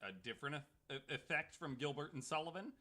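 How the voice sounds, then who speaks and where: A man co-hosting a casual podcast, joking and excitable, often tripping over his words into tangents. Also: a little high, fast. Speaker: podcast co-host. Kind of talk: casual banter.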